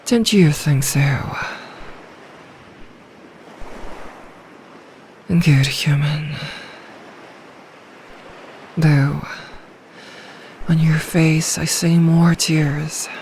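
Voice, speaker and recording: Slow, possessive sea dragon voiced by a woman. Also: low voice, fairly even intonation, slight background noise, full character voice. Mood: hushed, menacing, then tender, soothing. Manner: soft, languid, regal, doting with quiet menace. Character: lonely, possessive, ancient female sea dragon, tender yet menacing. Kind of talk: ASMR roleplay, slow intimate acting.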